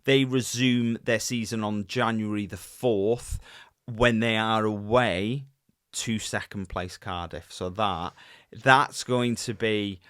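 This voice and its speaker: A man's voice: on the low side, takes it slowly, no echo, studio quality. Chatty man co-hosting a podcast. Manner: chatty, animated, lots of fillers and restarts. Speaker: podcast co-host. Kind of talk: casual podcast chat.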